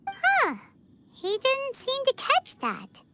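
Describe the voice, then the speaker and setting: A woman's voice: very high-pitched, huge pitch swings, slow, very noisy, heavily acted. A woman voicing a small, childlike, inquisitive fairy companion in a video game, chirpy and exclaiming. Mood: curious.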